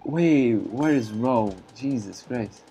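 A man from Brazil whose first language is Portuguese, chatting casually in halting English with many fillers and frequent laughs while streaming a game. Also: mid-range voice, lively intonation, dark tone, muffled recording. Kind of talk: casual live-stream commentary.

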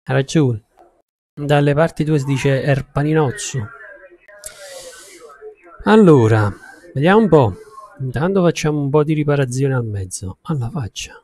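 A male game streamer talks in a mid-pitched voice. His pace is very slow and halting, as he thinks aloud and chats casually to his viewers. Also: expressive, warm tone. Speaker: game livestreamer. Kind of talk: casual chat with stream viewers while gaming.

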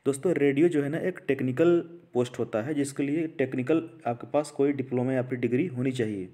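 A man's voice: mid-range voice, fairly quick, warm tone. A man hosting an informal educational explainer, talking conversationally with repeated phrases and frequent check-in tags. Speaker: host of an education channel. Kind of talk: informal explainer to camera-less video audience.

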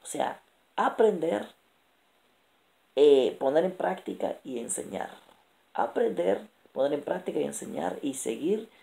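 A man in his thirties talking straight into a close camera in a small room at night, promoting his book with lively, repetitive motivational lists. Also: fairly high pitch, a little slow, creaky, long pauses. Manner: energetic, gesturing a lot, repetitive lists. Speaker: author and motivational speaker. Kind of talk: late-night motivational vlog. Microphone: camera held close.

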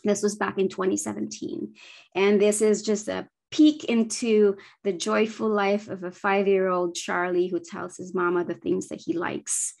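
A woman's voice: mid-range voice, flat delivery, measured pace, gravelly, a little mellow. A woman in her forties from the Philippines, a Bisaya speaker, presenting her children's book press over a video call, earnest and thoughtful with frequent ums and self-corrections. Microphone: laptop webcam on video call.

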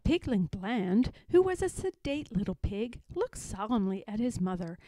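A woman reading a children's story aloud in an expressive narrating style. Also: mid-range voice, moderate pace, no echo. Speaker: story reader.

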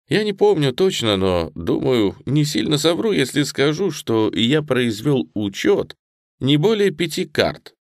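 Deep-voiced man narrating an audiobook. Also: no room sound, studio quality. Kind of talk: scripted first-person narration.

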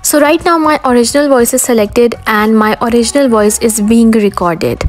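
A woman hosting and narrating a scripted step-by-step software tutorial. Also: a little high, moderate pace, low-quality recording. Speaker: tutorial host. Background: background music.

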